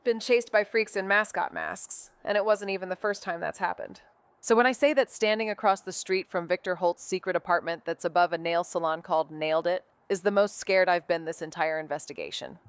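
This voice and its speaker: An actress plays a cocky young woman sleuth in a mystery audio drama. She has a clear, smooth voice in a close, dry recording. She is playful and glib, rambling on as she overexplains. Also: on the low side, fairly even intonation, a little fast, no room sound, close to an everyday voice. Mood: dramatic, nervous. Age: 20s. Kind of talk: naturalistic audio drama banter.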